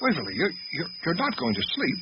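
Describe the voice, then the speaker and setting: Anxious literate doctor, radio drama storyteller, expressive, animated intonation, muffled noisy recording. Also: male voice, a little high, brisk. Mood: nervous, fretful. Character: anxious, literate male doctor recounting an eerie mystery. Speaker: narrator and lead character. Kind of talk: first-person radio drama narration and dialogue.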